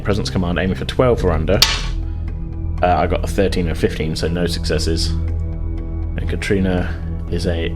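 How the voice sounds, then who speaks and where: Low-voiced man running a tabletop game. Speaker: game master. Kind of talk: narrating a tabletop roleplaying game, casual.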